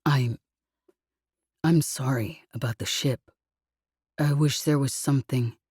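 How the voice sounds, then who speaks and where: A woman voicing an injured young man who feels drunk, mumbling and halting gently. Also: very deep voice, steady tone, husky, dry recording, clean studio sound, voice pushed down, heavily acted. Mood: apologetic.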